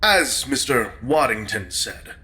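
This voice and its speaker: An actor playing a nosy, sharp-tongued gentleman guest in an audio drama, wry, urbane and needling. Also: mid-range voice, sing-song, slow, heavily acted. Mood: arch, amused.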